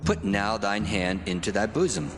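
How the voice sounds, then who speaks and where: An actor playing God in a dramatized scripture reading, speaking in a solemn, measured way. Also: male voice, fairly low pitch, expressive, natural voice. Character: God, deep commanding divine male voice. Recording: long echoing reverb with music.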